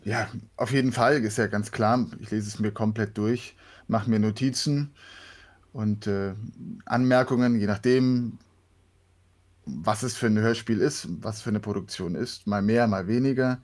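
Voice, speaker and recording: A man's voice: on the low side, fairly even intonation, a little slow, a little mellow. A man who works as an actor and voice actor, answering interview questions casually. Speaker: guest, actor and voice actor.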